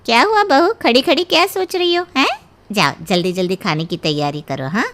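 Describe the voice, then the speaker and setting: A woman puts on a demanding mother-in-law for a cartoon tale. The voice is highish and sharp, swinging widely as it bosses and scolds. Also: expressive. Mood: bossy. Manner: brisk, scolding, indignant. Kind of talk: exaggerated cartoon story acting.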